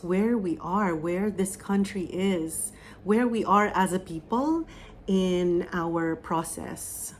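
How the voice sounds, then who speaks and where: Filipino woman medium, lowish voice, unhurried meditative delivery, soft pauses, channeling tone. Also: slight background noise. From Philippines. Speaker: psychic medium. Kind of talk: oracle reading and channeled message.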